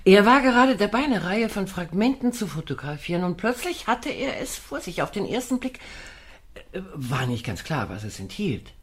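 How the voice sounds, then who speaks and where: An actress playing an archaeologist's wife in a German radio drama, explaining in a deliberate, thoughtful way. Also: female voice, medium pitch, sing-song, slightly rough. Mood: absorbed, recollecting.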